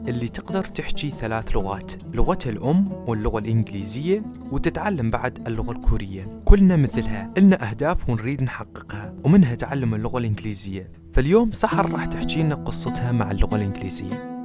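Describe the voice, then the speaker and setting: Guy doing a podcast intro, super fast, guitar playing behind him. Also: medium pitch, sing-song. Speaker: podcast host. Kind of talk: introducing the episode and guest. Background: guitar music.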